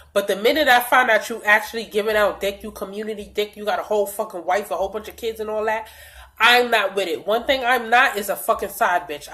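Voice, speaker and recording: A young woman tells a storytime vlog in a blunt, profane, animated way. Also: mid-range voice. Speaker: vlogger.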